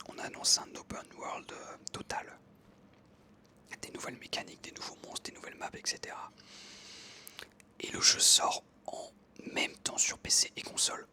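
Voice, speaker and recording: Whispering ASMR creator, bright and breathy. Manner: whispering throughout, hesitant pauses with frequent self-corrections. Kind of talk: whispered ASMR game commentary.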